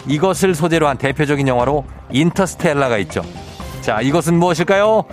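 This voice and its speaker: A man's voice: a little high, animated, a little fast, soft background music. A male radio DJ hosting a quiz segment in a playful, teasing way. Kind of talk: casual radio show hosting. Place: radio studio. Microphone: studio microphone.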